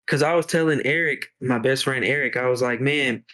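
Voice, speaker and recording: Chill guy in his 20s talking music, says bro constantly, mid pitch voice. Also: a little fast, bright, forceful tone. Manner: laid-back slang, says bro a lot. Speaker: guest musician. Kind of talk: casual podcast chat.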